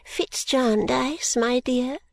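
A woman voicing a nervous, genteel, eccentric elderly lady who speaks slowly and stately and drops into whispers. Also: creaky, dry recording, exaggerated voice. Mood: fluttery. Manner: high, slow, stately and confiding, drops to whispers.

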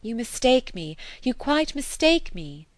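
A woman reading the lines of a lively young heroine in an audiobook, quick and eager, full of exclamations. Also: mid-range voice, no room sound, natural voice. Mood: astonished.

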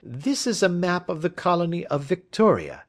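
A man's voice: sits high, very animated, normal speed, voice pushed up. A man reading the part of a brilliant Victorian detective, who lectures in a precise, emphatic way. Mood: lecturing.

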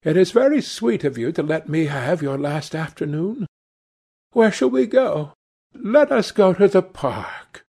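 A male reader acts out an affectionate young woman from a novel. The voice is pitched well up and a little breathy-rough, girlish and gushing, full of playful eagerness. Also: animated, unhurried, dark tone, full character voice. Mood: delighted, coaxing.

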